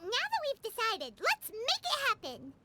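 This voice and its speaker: A woman voicing a tiny, childlike, excitable and boastful fairy companion with a squeaky voice in a video game cutscene. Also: moderate pace, heavy background noise, heavily acted. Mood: chirpy, eager. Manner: speaks of self in third person. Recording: loud music behind the voice.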